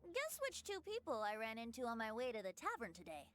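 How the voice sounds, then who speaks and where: A woman voicing a playful, teasing young male bard in an animated video game, with a lilting, theatrical delivery. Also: on the high side, fairly quick.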